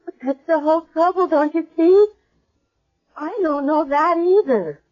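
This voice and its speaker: Confused shy young woman, high pitched, halting speech, vintage radio play, lo-fi. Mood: confused, anxious. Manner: hesitant, halting, repeating words. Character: bewildered, timid young woman with amnesia. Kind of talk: 1970s radio drama acting. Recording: dull lo-fi old radio broadcast.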